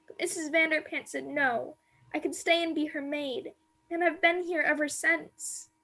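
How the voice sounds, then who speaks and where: A woman plays an innocent young maid held captive in a radio drama. The voice is extremely high and girlish. The delivery is timid, sorrowful and plaintive, captured over a video call. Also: steady tone, normal speed, sharp tone. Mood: timid, fearful. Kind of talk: radio play acting.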